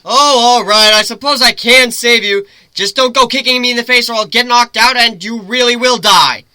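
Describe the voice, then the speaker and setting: A man voicing a cocky, teasing male griffin with a loud, playful, taunting delivery in a dramatic story reading. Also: extremely high pitch, moderate pace, no room sound, voice pushed up, full character voice. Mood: playful mocking. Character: cocky teasing male griffin, gleeful daredevil sky sailor.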